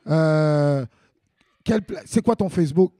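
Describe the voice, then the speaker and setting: Upbeat Quebec host, dry sound. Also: male voice, fairly high pitch, slow pace, dark tone, dry recording. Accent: Quebec French. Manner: enthusiastic, hypes up guests. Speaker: web TV host. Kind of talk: casual interview hosting.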